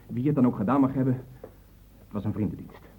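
An actor playing a defensive, evasive young man under suspicion in a Dutch radio drama, halting and touchy in his protests. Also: mid-range voice, husky, natural voice. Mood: evasive, protesting. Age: young adult. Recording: muffled phone-like old radio recording.

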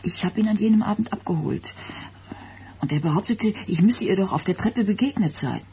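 An actress playing an anxious, self-doubting young expectant wife in a German radio drama, speaking in a halting, hesitant way. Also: female voice, medium pitch, lively intonation, warm tone, lightly acted. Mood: uneasy, hesitant. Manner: hesitant, halting, repeating words. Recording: radio play with background noise.

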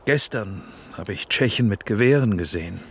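An actor playing a composed, wry film director in a radio drama. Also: male voice, lowish voice, lively intonation, moderate pace, a little hiss or hum.

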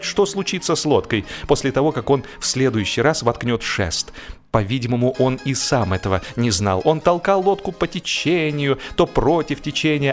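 Casting: male audiobook narrator for comic prose, mid-pitched, animated and expressive delivery, music underneath. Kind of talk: expressive narration of a humorous story.

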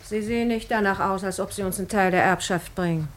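A woman's voice: medium pitch, fast, heavy background noise, lightly acted. An actress playing a blunt, resentful, weary mother in a 1950s German radio play, curt and matter-of-fact. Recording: 1950s radio drama recording.

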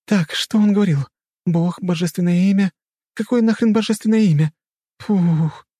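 Man putting on a fierce, high-voiced young woman fighter. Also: brisk, dry recording, studio quality. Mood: agitated. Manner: shouting, swearing, frantic. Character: fierce foul-mouthed young woman fighter, desperate and bossy. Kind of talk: dramatic audiobook character acting.

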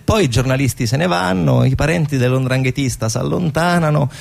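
A man's voice: medium pitch, fairly quick, vocal fry, darkish tone. A man performing a theatrical narrative monologue, dramatic and emphatic with repeated phrases. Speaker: stage actor and narrator. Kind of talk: theatrical monologue storytelling about organised crime. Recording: guitar music behind the voice. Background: guitar music.

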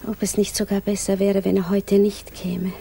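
An actress playing a shy, anxious, yearning widow in a 1950s radio drama, speaking softly and hesitantly, often inwardly. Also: female voice, medium pitch, little pitch movement, normal speed, gravelly, lightly acted. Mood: anxious, hushed. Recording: faintly noisy 1950s radio recording.

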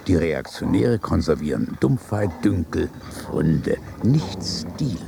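An elderly noble statesman for radio drama. His deep-set voice is gravelly and crackles at the edges. He declaims with pompous, dry irony, like someone weary of the world he judges. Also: animated, takes it slowly, a crowd in the background, heavily acted. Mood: scornful, dry. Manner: pompous, sardonic, ornate declamation. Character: aristocratic old statesman father in heaven, wry and world-weary. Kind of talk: literary radio drama dialogue.

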